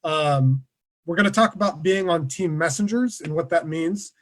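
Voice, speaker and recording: A man presenting an online workshop over a video call, relaxed and conversational, with many fillers. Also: on the high side, normal speed. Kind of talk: casual online lecture.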